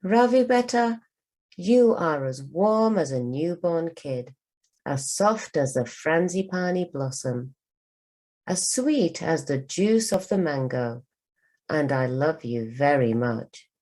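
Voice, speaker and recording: A woman voicing a kindly, good-humoured old grandfather in a storybook, with gentle, measured delivery. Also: low voice, lively intonation, full character voice. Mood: tender.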